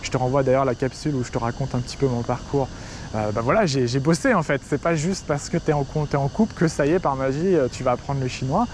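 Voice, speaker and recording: A man's voice: mid-range voice, quick pace, a little mellow, a little hiss or hum. A young French man who teaches Chinese, giving casual, direct advice straight to the viewer outdoors in front of a city skyline. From France. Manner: chatty, direct, addresses viewer as tu. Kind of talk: casual talking-head advice video.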